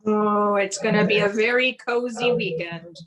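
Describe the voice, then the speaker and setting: A woman's voice: medium pitch, measured pace, over a noisy crowd, natural voice. A woman playing a wry, scheming wife in a radio play, speaking dialogue and narration in a dry, confiding way. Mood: dry, skeptical.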